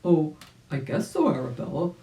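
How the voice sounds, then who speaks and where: A woman voicing a kindly, easygoing old gentleman rabbit in a storybook, mild and agreeable. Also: low-pitched, big pitch swings, normal speed. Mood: puzzled, agreeable. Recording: roomy home recording.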